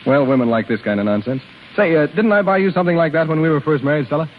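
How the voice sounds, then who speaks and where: Guy doing breezy teasing uncle type, 1930s radio, kinda high and quick, slangy, muffled hissy sound. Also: expressive, close to an everyday voice. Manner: jovial, slangy, teasing, brusque. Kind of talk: 1930s radio drama acting.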